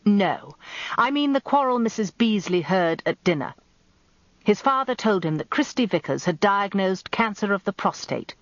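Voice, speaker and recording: A woman voicing a gruff older male barrister husband, terse, dry and sardonic, in a radio drama. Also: medium pitch, expressive, normal speed, dry recording, muffled recording, voice pushed up, lightly acted. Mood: dry, curt.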